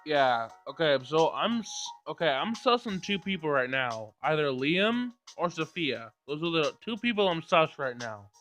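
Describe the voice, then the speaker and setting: Guy playing a visual novel, reading along and thinking out loud, kinda high voice, faint music. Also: big pitch swings, measured pace, hardly any pauses. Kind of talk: casual let's play read-aloud and commentary.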